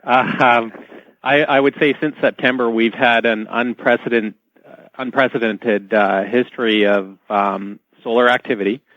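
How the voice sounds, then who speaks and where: A man calling in to a radio discussion, explaining things in a hesitant, halting way over dull, muffled audio. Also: mid-range voice, fairly even intonation, no echo. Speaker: guest caller.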